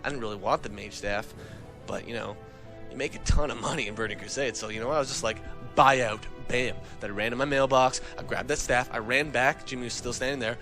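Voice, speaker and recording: A man's voice: medium pitch, quick pace, over music. A man telling a comic gaming anecdote in a casual, profane, dramatic and exasperated way. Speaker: comic storyteller on a gaming talk show.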